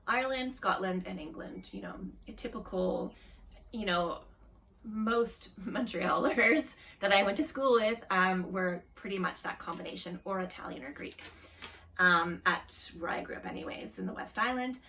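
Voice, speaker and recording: A woman's voice: mid-range voice, normal speed, pushed and bright, far from the mic, a little hiss or hum. A woman hosting a knitting podcast, chatting casually in a rambling way with lots of fillers and self-deprecating asides. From Canada. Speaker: podcast host. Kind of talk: casual chat.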